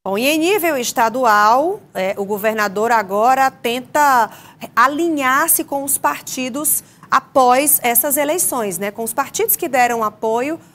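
A woman's voice: high voice, lively intonation, fairly bright tone. Young woman TV news anchor. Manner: measured scripted hand-offs. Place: TV news studio.

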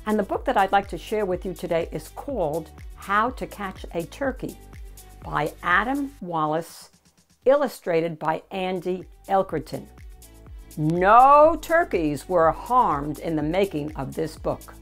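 An older woman reads a children's picture book aloud in an animated, deliberate storyteller's manner. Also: on the low side, soft background music. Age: older, 60s or 70s. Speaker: children's storybook reader and host.

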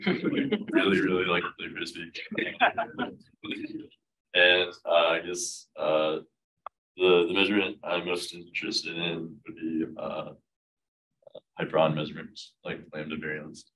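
A man's voice: low voice, little pitch movement, measured pace, pauses often, bright, forceful tone, a little hiss or hum, low-quality recording. A man giving an easygoing self-introduction to a class. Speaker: summer school student.

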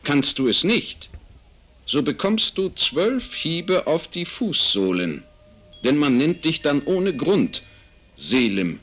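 A husky caliph from a fairy-tale puppet play. He speaks unhurriedly, commanding and playful, with theatrical swoops in his voice. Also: a little high, big pitch swings, slow pace, a bit bright, full character voice.

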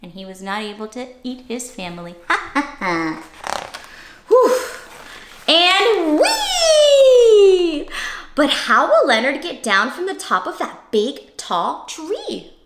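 A young adult woman reading a picture book aloud to young children in an animated, exclamatory, questioning way. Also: high-pitched, takes it slowly, slight background noise. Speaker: children's read-aloud host. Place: small cozy indoor room. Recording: roomy indoor echo.

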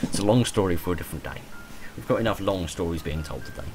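Hesitant, low-voiced man with a hoarse voice. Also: animated, quick pace, a little mellow, a little hiss or hum. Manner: hesitant, self-deprecating, repeats words. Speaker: channel creator. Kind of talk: rambling personal monologue. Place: small room at home.

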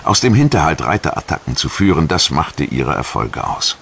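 A man's voice: rumbling low, very animated, moderate pace, gravelly, a bit bright, a little hiss or hum. A man narrating a documentary in a scripted style, as narrator and voice-over.

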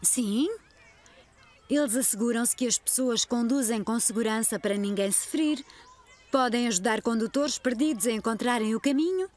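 Woman playing a high-voiced kind preschool teacher. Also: normal speed, darkish tone, natural voice. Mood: patient explaining. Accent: European Portuguese. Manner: explains patiently to children. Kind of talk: children's cartoon dubbing.

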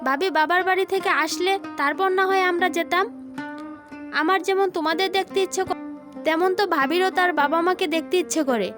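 Woman as young married daughter, piercingly high pitch, gentle, fair-minded, cartoon dialogue, background music. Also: brisk. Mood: gently reproving. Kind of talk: cartoon family drama dialogue.

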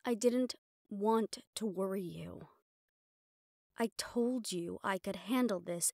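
A woman's voice: mid-range voice, no room sound. Woman acting a proud, exasperated underworld assistant, dry sound. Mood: tense, businesslike. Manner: sighing, exasperated, self-reproachful, pausing. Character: mafia boss's proud, frustrated female human personal assistant. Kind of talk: intimate roleplay audio drama.

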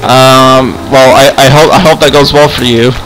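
A man's voice: mid-range voice, steady tone, moderate pace, music in the background, natural voice, rough recording. A man playing a curious, polite boy adventurer in an improvised game roleplay, stammering and repeating words. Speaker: host of a Minecraft roleplay series.

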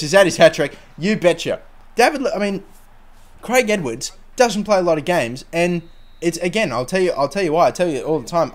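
A young man with a dry, sore throat narrates his football game in loose, rambling commentary. His voice sits a little higher than most men's, and he swears freely when he gets worked up. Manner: rambling, excitable at goals, swears casually. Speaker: gaming channel host. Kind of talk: casual gameplay commentary.

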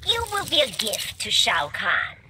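An actress playing a menacing insectoid hive assassin in a video game, deliberate and speaking of herself in the third person. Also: female voice, on the high side, huge pitch swings, noisy background. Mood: menacing, commanding. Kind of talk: video game fight-intro dialogue.

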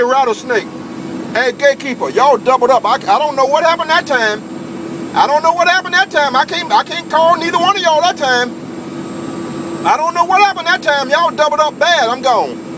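Southern male CB operator, very high-pitched, animated and teasing, repeats his lines, clear radio noise. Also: moderate pace. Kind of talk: bantering CB radio chat. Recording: very noisy CB radio transmission.

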